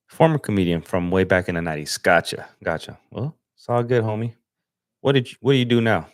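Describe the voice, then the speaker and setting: A young man from Los Angeles chatting casually on a livestream as he edits photos, relaxed and slangy, thinking aloud with fillers. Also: fairly low pitch, normal speed, no room sound, studio quality. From Los Angeles, USA. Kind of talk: casual livestream chat while editing. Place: home desk setup.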